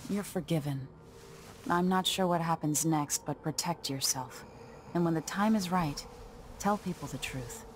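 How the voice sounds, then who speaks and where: Lowish-voiced resolute young empress. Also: female voice, measured pace, slight background noise. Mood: grave, forgiving. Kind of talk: video game voice acting.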